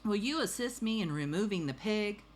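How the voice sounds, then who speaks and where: A woman's voice: medium pitch, animated, normal speed, a little hiss or hum, pitched above the performer's own voice, natural voice. A woman voicing an earnest, formal policeman who questions and muses aloud in a storybook. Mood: stern, official.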